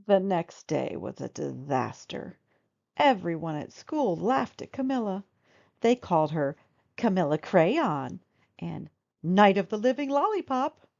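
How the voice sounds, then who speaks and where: A woman reading a children's storybook aloud in expressive narration, on a slightly muffled recording. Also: lowish voice, unhurried, creaky. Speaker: storybook reader.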